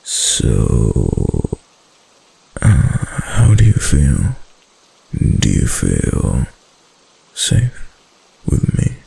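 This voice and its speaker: Casting a male actor for a clingy yandere fox-spirit husband, intimate ASMR roleplay; slow, heavily creaky murmur, tender and obsessive. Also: huge pitch swings, mellow, exaggerated voice, poor audio. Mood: anxious, coaxing. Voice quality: deep, breathy, gravelly. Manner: slow, hesitant, coaxing endearments.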